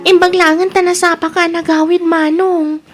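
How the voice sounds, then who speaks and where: A woman's voice: very high voice, little pitch movement, normal speed, over music. A woman acting a worried, pleading younger sister in an Ilocano audio drama, with an Ilocano accent. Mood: anxious, pleading.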